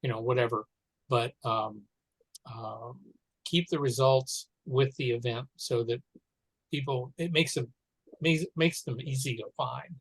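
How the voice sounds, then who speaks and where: Male meeting participant, medium pitch, deliberate stop-and-start phrasing, dry audio. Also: fairly even intonation, breathy edge, no echo, poor audio. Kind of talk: informal meeting discussion. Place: online conference call.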